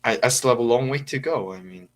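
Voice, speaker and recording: A man's voice: mid-range voice, fast talker. A teenage boy from Korea speaking English with an American-leaning accent, hesitant and full of fillers, practicing on a video call. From South Korea. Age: teens. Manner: hesitant, many fillers and restarts. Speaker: student. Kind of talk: casual conversation practice.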